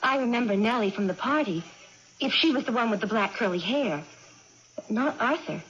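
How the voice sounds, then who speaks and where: An actress playing a merry, headstrong, restless young farm woman in a vintage radio drama, excitable and impatient. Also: fairly high pitch, normal speed. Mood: bright, eager. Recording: muffled noisy old radio broadcast.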